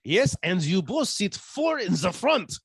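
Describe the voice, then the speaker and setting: A man plays a mocking, theatrical old musician in a vintage radio comedy. His lively, swooping delivery is flowery and full of digs, in a dry recording. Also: high-pitched, measured pace, slightly rough, no room sound, exaggerated voice. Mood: sardonic, teasing. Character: sardonic, theatrical teasing old-world musician, the Professor. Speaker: amateur theatre performer. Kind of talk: 1940s radio sitcom acting.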